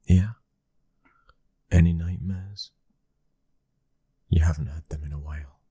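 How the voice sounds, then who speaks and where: Casting: male actor as devoted young British mafia son, very low husky voice, tender and protective, ASMR boyfriend roleplay. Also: fairly even intonation, takes it slowly, no echo, full character voice. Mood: affectionate, relaxed. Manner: soft, breathy, often near-whispered, tender.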